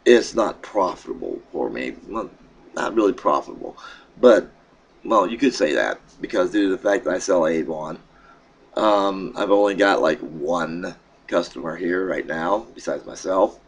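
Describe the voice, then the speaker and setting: A middle-aged man with a froggy throat, casually talking to his webcam at home in a rambling, halting way. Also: low-pitched, slightly rough. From Pennsylvania. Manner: rambling, halting, with many fillers and restarts. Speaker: vlogger. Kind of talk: casual vlog update. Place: small room in a home, indoors. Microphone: webcam at desk.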